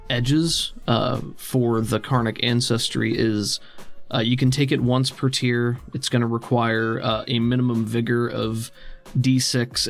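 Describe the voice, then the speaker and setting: Gravelly man running a tabletop game. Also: medium pitch. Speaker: game master of a tabletop RPG podcast. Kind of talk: casual game narration and rules talk.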